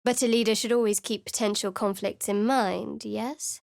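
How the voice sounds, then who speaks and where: A woman's voice: mid-range voice, fairly even intonation, normal speed, creaky, darkish tone, dry recording, close to an everyday voice. A woman voicing a guarded, sardonic young female cleric in a video game, with a dry, wry and secretive way of speaking. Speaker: game character voice.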